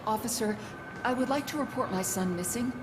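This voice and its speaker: A woman's voice: fairly high pitch, fairly quick, background noise, close to an everyday voice. An actress playing an anxious middle-aged mother in a video game, pleading and distressed. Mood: worried, pleading.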